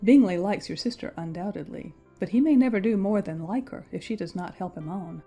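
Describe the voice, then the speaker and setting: Woman as pragmatic young period gentlewoman for audiobook drama: mellow, husky, measured and formal, music behind. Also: mid-range voice, lightly acted. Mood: cool advice. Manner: measured, matter-of-fact, formal period diction. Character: pragmatic, worldly young gentlewoman, coolly advising.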